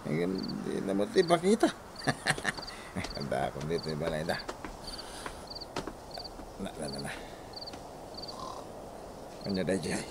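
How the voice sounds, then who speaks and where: An actor playing a loud, exasperated grown son in a radio comedy drama, muttering and calling out. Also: male voice, lowish voice, background noise.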